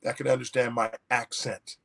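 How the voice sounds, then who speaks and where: A man's voice: mid-range voice, flat delivery, moderate pace, creaky, a bit bright. A man, an author guest, telling a personal story in a folksy, anecdotal way with many restarts. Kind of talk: storytelling in an interview.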